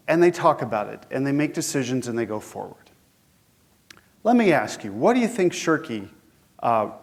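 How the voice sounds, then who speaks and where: A man with a head cold, sounding a little sniffly, giving a conversational conference lecture and putting questions to the audience. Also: fairly high pitch. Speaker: guest lecturer.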